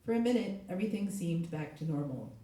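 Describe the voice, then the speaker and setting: Hoarse woman reading in a very echoey room. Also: on the low side, lively intonation, fast, dark tone. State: hoarse from a cold. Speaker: literary translator giving a reading. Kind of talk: live literary reading aloud with short spoken introduction. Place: echoey indoor event space. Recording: very echoey room, distant mic.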